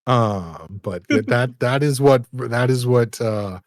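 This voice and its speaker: A man running a tabletop game as dungeon master, halting and apologetic, laughing often. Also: mid-range voice, no room sound, studio quality.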